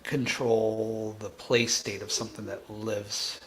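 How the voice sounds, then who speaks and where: A man teaches on a coding livestream in a low, very slow voice with a creaky edge. He reasons aloud haltingly, often restarting his phrases. Some background noise sits behind him. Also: little pitch movement, low-quality recording. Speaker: programming tutor and livestream host. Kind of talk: thinking aloud during live coding tutorial.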